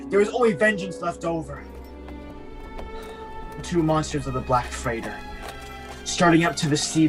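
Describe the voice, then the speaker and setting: A man's voice: a little high, natural voice. A man playing a vengeful, anguished mariner, narrating a melodramatic tale in a brooding, portentous manner. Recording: music behind the voice.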